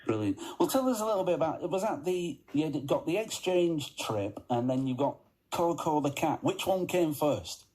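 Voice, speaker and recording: A man's voice: on the high side, animated, moderate pace, a little mellow, rough recording. Man hosting a local radio show. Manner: friendly, upbeat interviewer with hesitations. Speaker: radio presenter. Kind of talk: drive-time radio interview.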